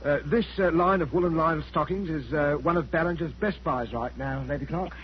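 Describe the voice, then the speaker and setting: A man's voice: a little high, a little fast, close to an everyday voice. An actor playing a meek, troubled little salesman, fussy and hesitant, in a 1940s radio drama. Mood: nervous, obliging. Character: meek, troubled little man, lonely traveling stocking salesman. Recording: muffled, hissy 1940s radio recording.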